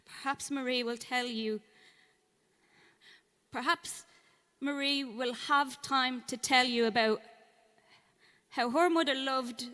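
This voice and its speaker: A woman's voice: sits high, slow pace, pauses often, bright, forceful tone, in a large hall, full character voice. A woman acting in a live horror audio drama, playing someone reading an eerie message onstage in a cellar venue. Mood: eerie, menacing. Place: cellar performance venue before an audience.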